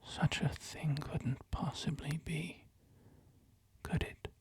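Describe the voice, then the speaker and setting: A man in a slow, hushed whisper, voicing a jealous male devotee who dreams of his saint's poison. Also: on the high side, level tone, pitched above the performer's own voice. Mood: despairing.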